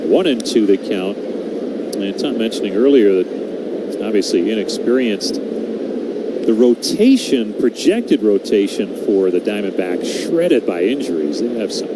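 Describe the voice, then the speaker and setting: A man's voice: big pitch swings, unhurried. Male baseball radio announcer over heavy noise. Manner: clipped pitch calls between conversational asides. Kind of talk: live radio sports play-by-play commentary. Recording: very noisy live broadcast, constant background hum.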